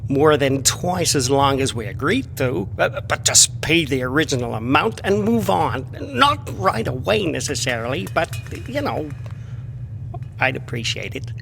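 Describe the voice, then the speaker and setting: High-pitched, desperate, pleading loser. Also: male voice, big pitch swings, measured pace, vocal fry, fairly bright tone, slight background noise, heavily acted. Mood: nervous, meek. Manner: hesitant, stammering, pleading. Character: desperate, pleading, down-on-his-luck park owner, meek and wheedling. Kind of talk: video game cutscene acting.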